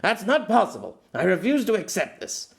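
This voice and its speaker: A man performs a distraught middle-aged exile for an audiobook fantasy. He is bitter and hot-tempered, with a very animated pitch that swings widely. He stammers and swears his way through dramatic, desperate outbursts. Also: normal speed, creaky, heavily acted.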